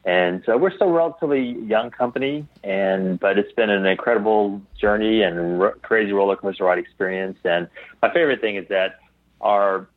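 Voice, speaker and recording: A man with a fairly low voice talks casually as an interview guest. He pauses to find his phrasing, and the sound is narrow and phone-like, with little room around it. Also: dry recording. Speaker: guest, founder of a geek apparel company. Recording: thin phone-like call audio.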